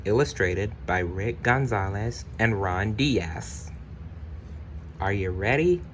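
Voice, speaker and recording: A man with a deep voice reads a children's storybook aloud at an unhurried, slow pace. His delivery is deliberate and expressive, like storytime for young listeners. There is noticeable noise behind him. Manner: deliberate, expressive narration with brief personal asides. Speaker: storybook reader.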